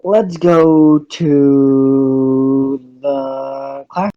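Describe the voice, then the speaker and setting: A man with a mellow, unforced voice reads story narration and dialogue aloud in an amateur way. His delivery halts and restarts. The microphone sounds a little dull. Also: medium pitch, level tone, very slow. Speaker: reader voicing the protagonist of a fan visual novel. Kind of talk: amateur dramatic reading of first-person narration and dialogue.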